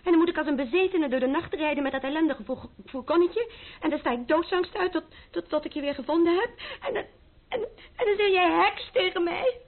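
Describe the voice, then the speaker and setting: A woman's voice: extremely high pitch, brisk. An actress playing an emotional, reproachful young woman in love in an old radio play, stammering and pleading melodramatically. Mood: pleading, hurt. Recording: narrow-band old radio recording.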